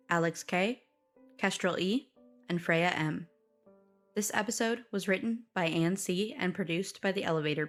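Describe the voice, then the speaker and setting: A woman announces podcast credits in a slightly low voice. She reads from a script, with music faintly behind her. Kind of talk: scripted narration.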